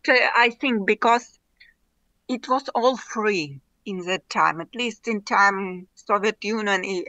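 Woman guest, Russian accent, bright clear tone, dry close sound, restarts often. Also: mid-range voice, no room sound, poor audio. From Ukraine. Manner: halting non-native English, frequent restarts, laughs often. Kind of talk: casual interview chat.

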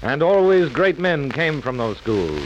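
An American man delivers solemn 1940s radio narration with sweeping intonation. His delivery is oratorical and measured. The vintage recording is muffled and faintly hissy. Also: a little high, very animated. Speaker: radio announcer.

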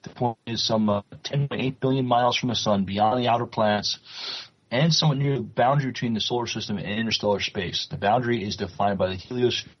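A man co-hosting a podcast, reading news and chatting, with halting delivery when reading aloud. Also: mid-range voice, steady tone, breathy edge. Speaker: podcast co-host. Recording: muffled, dull lo-fi audio.

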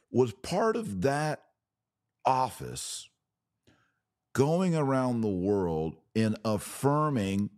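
A man hosting a podcast, giving religious commentary in a deliberate way with pauses and emphatic phrasing. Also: fairly low pitch, a little mellow, no room sound, clean studio sound. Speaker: podcast host.

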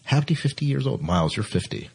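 A man co-hosting a podcast in casual panel chat, with a husky voice. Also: medium pitch, sing-song, quick pace. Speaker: podcast co-host.

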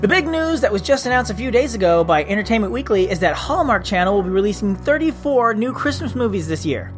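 A man's voice: sits high, very animated, fast talker, soft background music. A man hosting a podcast, reading listener feedback with jokey, self-mocking asides. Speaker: podcast host. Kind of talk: scripted podcast segments.

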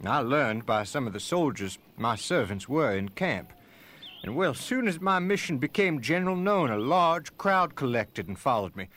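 An actor playing an indignant slaveholder who makes a formal, emphatic complaint in a dramatic reading of historical documents. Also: male voice, fairly high pitch, pushed and bright, full character voice. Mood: formal grievance.

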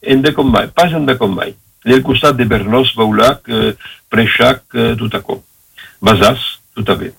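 A man with an Occitan accent from southwest France explaining the origin of family names on the radio, slowly, with many pauses and fillers. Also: mid-range voice, creaky, fairly bright tone, husky, low-quality recording. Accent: Occitan-speaking southwest France.

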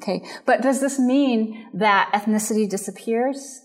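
A woman with a Japanese and American background, giving a measured, earnest lecture on biblical counseling and culture. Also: fairly high pitch, a little mellow. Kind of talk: recorded lecture.

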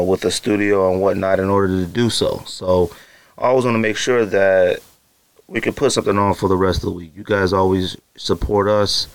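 A man's voice: fairly low pitch, dry recording. A man in his thirties hosting a solo sneaker podcast from his home studio, talking casually to his listeners with teasing, hyped-up direct address and lots of fillers. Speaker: podcast host.

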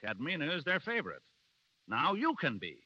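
A pushy opera manager on a dull old radio recording. He talks quickly in a hard, very bright voice, pressing his case persuasively in 1930s radio drama style. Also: on the high side, wildly swooping pitch, breathy edge, heavily acted. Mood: urgent, persuasive. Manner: insistent, persuasive. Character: pushy, fast-talking male manager of opera singer.

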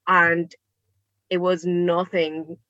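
A young woman chats on a live podcast, her voice a little low and bright-edged. She fills her talk with ums and likes and laughs easily. The sound is dry and a bit dull, like a lo-fi mic. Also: level tone, drawn-out words, dry recording. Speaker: podcast guest. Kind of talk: casual live podcast chat.

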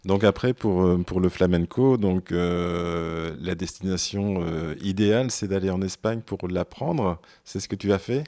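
A man hosting a radio interview, asking questions in a halting way with many repeated words. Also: deep voice, mellow. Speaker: radio host.

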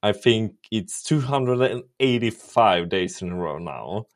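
A man's voice: on the low side, expressive, measured pace. A man with a Swedish accent hosting a podcast, easygoing and agreeable in casual chat. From Sweden. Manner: easygoing, agreeable, many yeahs. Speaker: podcast host.

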